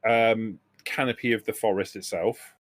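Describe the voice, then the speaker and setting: A man acting as game master, improvising descriptions of scenes for a tabletop roleplaying game in a halting way. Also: fairly low pitch, fairly even intonation, no room sound.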